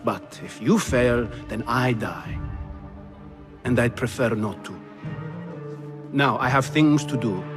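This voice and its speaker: An actor playing a shrewd, proud middle-aged male governor in a video game, deliberate, dry and haughty. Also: mid-range voice, lightly acted. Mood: weary, defiant. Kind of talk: cinematic video game acting. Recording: music behind the voice. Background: music.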